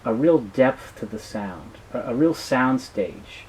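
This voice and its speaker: A man being interviewed, talking casually and explaining things in a halting way with frequent restarts. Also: mid-range voice, expressive, a little mellow, a little hiss or hum, low-quality recording. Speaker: business founder being interviewed.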